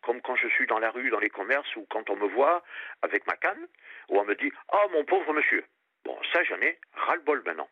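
An older man from France, calling in to a radio show, talking earnestly in a halting way and often repeating his words. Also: mid-range voice, very animated, slightly rough, dry recording. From France, Périgord, grew up on Réunion. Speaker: caller. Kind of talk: phone-in radio call. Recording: muffled phone line.

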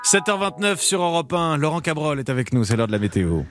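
A man hosting a morning radio news show, quick and upbeat between segments. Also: a little high, big pitch swings, a bit bright. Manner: quick, upbeat presenter patter. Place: radio studio. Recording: music bed under voice.

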